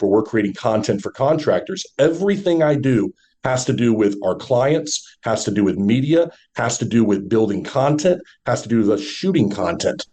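A man with a deep, warm voice talks as a podcast guest. He coaches listeners confidently, leaning on rhetorical questions as he lays out his advice. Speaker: podcast guest, brand and media speaker. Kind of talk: motivational podcast talk.

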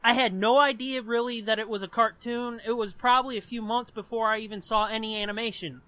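A young American man with a notably high, bright voice, recorded close and dry. He hosts a podcast and talks informally, swearing freely as he rambles through personal anecdotes. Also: steady tone, fairly quick, dry recording. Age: 20s. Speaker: podcast host. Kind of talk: casual chat, telling personal anecdotes.

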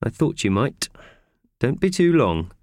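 Harassed, fretful storybook everyman, acted by a male reader, pitch leaping about wildly. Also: sing-song, a little slow, a little mellow, no room sound, clean studio sound. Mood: weary. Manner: fretful, spluttering. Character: flustered, exasperated man, easily panicked.

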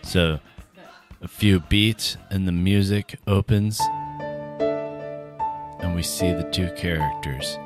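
A man's voice: sits low, slow pace, pauses often, over loud music. A man co-hosting a comedy podcast, talking in casual, joking banter.

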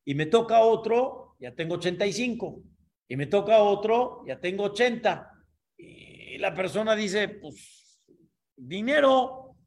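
Rabbi teaching a class, high voice, explains step by step and keeps checking in. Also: male voice, lively intonation, measured pace. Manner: explanatory, checks understanding with frequent asides. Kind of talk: Torah class lecture.

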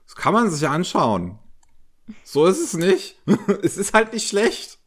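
Man, podcast co-host, casual review talk, halting, fumbles for words. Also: high voice, sing-song. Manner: hesitant, searching for words with many fillers.

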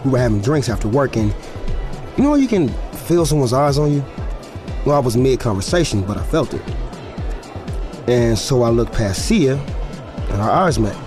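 A guarded young pro football player played by a male actor tells his story as audio drama narration. He speaks at a middle pitch in a hesitant, candid, conversational way, as if confiding in the listener. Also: big pitch swings, moderate pace, long pauses, over music, lightly acted. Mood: reflective, confiding.

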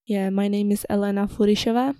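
Young woman, relaxed dark-toned voice, casual podcast monologue, slightly hesitant, dry close recording. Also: medium pitch, fairly quick, no echo. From Czech Republic, moved to Ireland at twelve. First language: Czech. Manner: slightly nervous, hesitant, informal. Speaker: podcast host.

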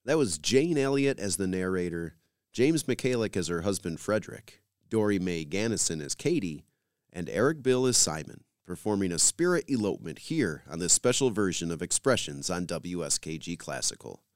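Low-voiced male program host, lively intonation. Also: normal speed, dry recording, clean studio sound. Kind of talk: scripted presenter introductions for a classical radio program.

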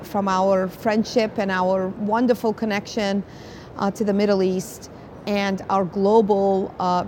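A woman's voice: medium pitch, a little mellow. A woman doctor hosting an interview at a medical conference, speaking in an effusive, emphatic way. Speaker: host, interventional cardiologist. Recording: clearly noisy conference background.

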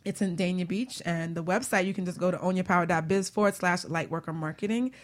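A woman's voice: fairly low pitch, fast talker. A woman hosting a casual radio panel as a coach, with a playful, teasing manner. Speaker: radio show host and coach.

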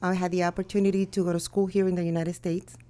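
A woman from Cuba, a school owner, answering interview questions in a measured way with some hesitations. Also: fairly low pitch, level tone, mellow. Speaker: school owner and director, guest.

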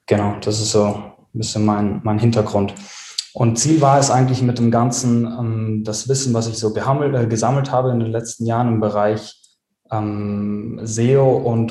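A man's voice: medium pitch, mellow, roomy sound. A man, answering interview questions steadily with many fillers. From South Tyrol, Italy. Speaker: podcast guest, agency founder.

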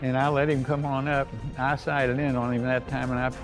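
Old american guy telling war memories, creaky gravelly voice, folksy, music underneath. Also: medium pitch, flat delivery, a little fast. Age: older. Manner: folksy, plain-spoken. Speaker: World War II veteran recounting memories. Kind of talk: unscripted oral-history reminiscence. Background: music bed.

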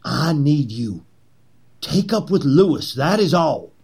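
An actor playing a zealous, persuasive Confederate conspirator in a historical drama, with a husky voice and theatrical, oratorical delivery. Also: male voice, a little high, big pitch swings, slow, darkish tone, heavily acted. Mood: scheming. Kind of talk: period historical drama acting.

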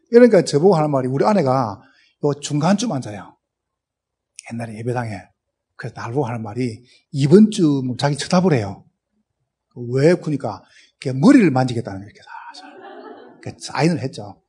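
A man's voice: fairly high pitch, mellow, husky. A man pastor from Gyeongsang with a strong Gyeongsang accent, preaching a humorous sermon full of anecdotes and acted-out dialogue. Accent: Gyeongsang Korean. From Gyeongsang, South Korea. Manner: storytelling, acts out dialogue, speeds up and laughs.

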